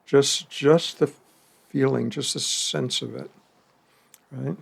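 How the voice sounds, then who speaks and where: A man leading a guided meditation, speaking slowly and gently with long pauses and the odd soft laugh. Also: medium pitch, fairly even intonation, vocal fry, breathy edge. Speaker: meditation teacher.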